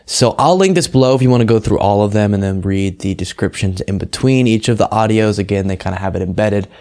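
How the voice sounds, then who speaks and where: A man's voice: fairly low pitch, fast, darkish tone. An American man hosting a political commentary show, talking in an earnest, emphatic and conversational way. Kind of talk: casual political commentary, reading translated quotes aloud.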